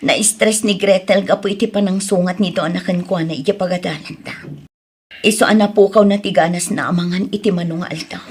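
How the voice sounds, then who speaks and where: A woman's voice: fairly low pitch, steady tone, fairly quick, darkish tone, husky. A woman acting an anxious, aggrieved mother in an Ilocano radio drama, speaking earnestly with an Ilocano accent.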